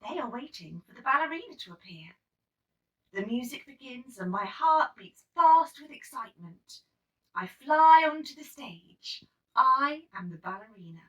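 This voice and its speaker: A woman reads a children's story aloud with a lively, swooping delivery. Her voice is high and bright, and she sounds a little way from the microphone. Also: moderate pace. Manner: animated, dramatic storytelling. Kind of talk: expressive read-aloud of a children's picture book. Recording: slightly distant microphone.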